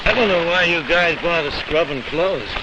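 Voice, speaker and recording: An actor playing a wry, wisecracking marine who talks colloquially in a 1940s military training film. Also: male voice, a little high, normal speed, muffled recording, close to an everyday voice. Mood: wry, griping. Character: wisecracking marine griping in the jungle. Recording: very noisy old film soundtrack.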